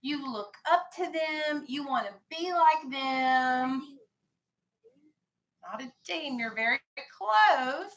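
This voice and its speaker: A woman's voice: sits high, lively intonation, slow pace, fairly bright tone, poor audio. An American woman teaching a vocabulary lesson to young children in a classroom, instructing and prompting with questions and directions. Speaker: elementary school teacher.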